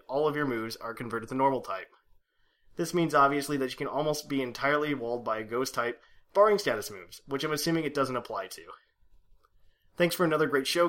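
A man with an average-pitched voice co-hosting a casual podcast. He reads a listener's long email aloud at a steady clip, then drops into easygoing back-and-forth with his co-host. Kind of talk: casual podcast chat and reading listener mail.

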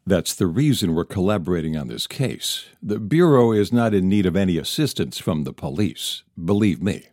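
A man's voice: sits low, lively intonation, moderate pace, hardly any pauses, a little mellow, dry recording, studio quality. A man playing a proud, curt older FBI special agent in a crime audio drama.

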